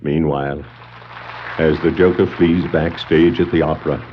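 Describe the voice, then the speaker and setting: An actor narrating a scripted 1960s superhero adventure record as a dramatic, portentous storyteller. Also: male voice, extremely low pitch, fairly even intonation, normal speed, background noise. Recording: muffled 1960s vinyl record.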